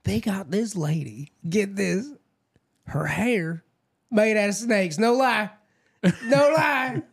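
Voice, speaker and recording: A man's voice: high voice, lively intonation, slow pace, gravelly, husky, heavily acted. A man putting on a brash, ignorant, drawling yokel who brags about things he gets wrong. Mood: boastful. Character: ignorant loud-mouthed American yokel man.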